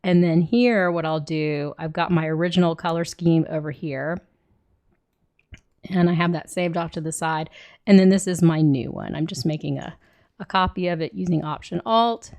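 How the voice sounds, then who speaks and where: A woman with a cold and a hoarse voice, talking through a screen-recorded software tutorial and thinking aloud as she clicks. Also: on the low side, moderate pace. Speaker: illustrator teaching software.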